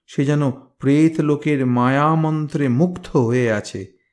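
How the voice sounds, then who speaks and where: A man reading a folktale as scripted narration, in a measured, expressive, dramatic manner. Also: fairly high pitch. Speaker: storyteller, reader.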